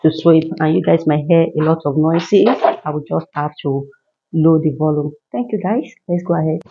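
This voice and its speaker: A woman's voice: deep voice, moderate pace, lo-fi sound, a little hiss or hum. A woman working as a housemaid, chatting casually to viewers and explaining each task as she cleans tiled rooms in a large home. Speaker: housemaid filming her cleaning routine. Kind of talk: casual vlog narration to viewers.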